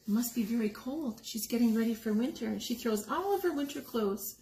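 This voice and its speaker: A woman's voice: a little high, a little fast. Woman, story time reader, picture-book narration, questioning asides, very varied intonation, roomy sound with faint noise. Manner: expressive, explaining, asking the listener questions. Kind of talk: picture-book read-aloud for young children, with asides on the pictures. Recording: echoey room with background noise.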